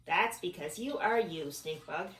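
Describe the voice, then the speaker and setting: A woman's voice: lowish voice, lively intonation, measured pace, fairly bright tone. A woman voicing a kindly, forgetful male earthworm from a children's storybook in a plain, reassuring way. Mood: gentle. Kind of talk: children's storybook read-aloud. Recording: echoey room, distant mic, background noise.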